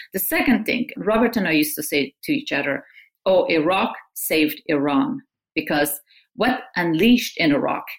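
Interview guest: a woman from Afghanistan with a low voice, measured and emphatic. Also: rough recording. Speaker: guest, journalist. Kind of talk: interview answers.

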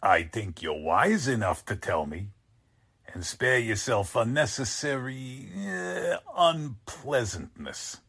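An actor playing a menacing, mock-polite con artist posing as a Count in a 1940s-style radio drama. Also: male voice, mid-range voice, very animated, takes it slowly, creaky, fairly bright tone, breathy edge, heavily acted. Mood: cold threat.

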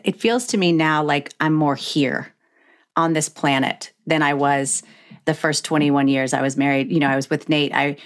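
Female podcast host, middle-aged, low register, candid and emphatic in conversation.

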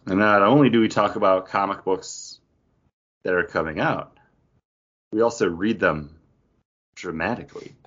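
A man's voice: low voice, normal speed, long pauses, fairly bright tone, lo-fi sound. A man co-hosting a podcast, chatting casually about comic books. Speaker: podcast co-host. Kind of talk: casual comic book chat.

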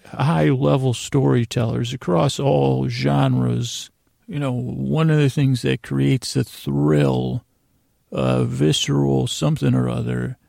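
A man hosting a podcast in a rambling, lighthearted bedtime monologue, halting and drifting into absurd tangents, with a gravelly, creaky voice. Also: medium pitch, warm tone, dry recording, clean studio sound. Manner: meandering, halting, with trailing tangents. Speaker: podcast host. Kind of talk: rambling bedtime monologue, meant to lull listeners to sleep.

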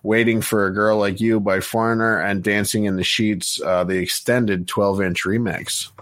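A man hosting a podcast, reading out a track list in a plain, steady way with many fillers. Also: on the low side, normal speed, no echo. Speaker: podcast host.

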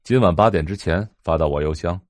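An actor playing a cool, commanding young rich man in an audio drama, speaking low, terse and flat. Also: fairly quick, no room sound, clean studio sound, natural voice. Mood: cool, curt. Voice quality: low, hushed.

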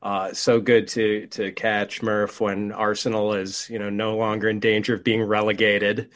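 An American man with a fairly low voice, chatting as a podcast guest. He is modest and friendly, leaning on ums and uhs as he tells his stories. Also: flat delivery, moderate pace, a bit bright. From United States. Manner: modest, friendly, many fillers. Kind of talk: casual chat.

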